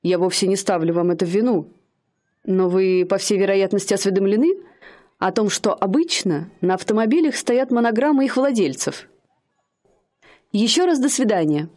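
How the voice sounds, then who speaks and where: An actress playing a haughty young woman posing as a high-society lady, speaking in an affected, aloof manner in a radio play. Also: expressive, moderate pace, darkish tone, lightly acted.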